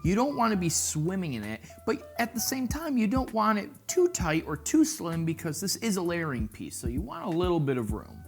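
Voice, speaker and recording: A man's voice: high-pitched, sing-song, moderate pace, few pauses, soft background music. A man presenting a casual fashion vlog, chatty and playful, with jokey asides. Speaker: fashion vlogger. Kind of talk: casual style-tips vlog.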